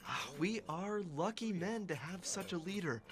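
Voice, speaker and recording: A man's voice: high voice, expressive, moderate pace. A man playing a dictator's fawning, hearty loyalist in a radio drama, effusive and emphatic. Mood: gushing.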